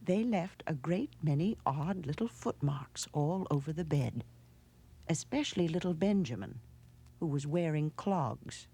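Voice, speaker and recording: A woman reading a children's storybook in a measured, expressive storytelling manner. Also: low-pitched, slightly rough. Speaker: storybook narrator. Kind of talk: scripted children's storybook narration.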